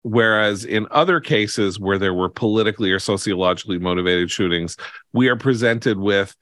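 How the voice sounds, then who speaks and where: Deep-voiced American man, dry close sound. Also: moderate pace, a bit bright, dry recording. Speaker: podcast host. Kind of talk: political commentary in conversation.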